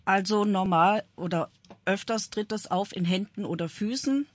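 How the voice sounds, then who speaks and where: A woman's voice: mid-range voice, moderate pace, no room sound. A woman in her fifties speaking plainly as a radio interview guest. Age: 50s. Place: radio studio.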